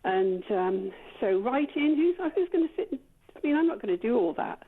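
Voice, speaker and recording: A woman's voice: fairly high pitch, expressive, moderate pace. A woman calling in to a talk radio show, talking in a rambling, hesitant way with many restarts and repeats. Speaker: caller. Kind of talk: phone-in call. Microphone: phone. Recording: tinny phone line.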